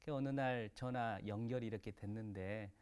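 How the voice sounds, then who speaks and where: A man, a pastor, telling stories as a guest on a studio talk show, chatty and quick to laugh. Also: on the low side, crowd noise. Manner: chatty, laughs often, frequent fillers. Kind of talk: relaxed talk-show storytelling. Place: broadcast studio.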